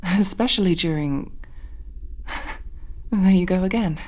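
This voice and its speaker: Woman as a soft, breathy, slow-speaking alien lover. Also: on the low side. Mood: playful, affectionate. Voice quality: soft, breathy, often whispering. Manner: hesitant, halting, tender. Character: gentle female alien crewmate and lover, awkwardly affectionate. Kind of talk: intimate ASMR roleplay.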